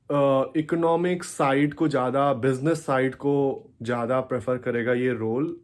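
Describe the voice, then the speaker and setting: A young man with an Indian accent talks in a casual vlog style. His voice sits at a middle pitch. He explains things step by step and often mixes English phrases into his speech. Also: darkish tone. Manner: code-switches between Hindi and English, explanatory. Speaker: vlogger.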